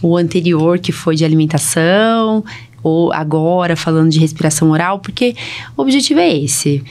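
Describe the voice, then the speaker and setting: A woman's voice: low-pitched, animated, moderate pace, darkish tone. A woman with a Brazilian accent from São Paulo state, hosting a casual podcast as a doctor, chatty and anecdotal, often checking for agreement. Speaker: podcast host, allergist doctor. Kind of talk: casual conversational podcast chat.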